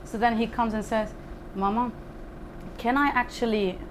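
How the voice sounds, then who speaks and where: A woman's voice: fairly high pitch, steady tone, normal speed. A woman speaking as a panel guest, telling personal stories in a candid way with asides. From Lebanon. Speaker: panel guest, fintech founder. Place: live talk event before an audience. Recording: faint background noise, live event room.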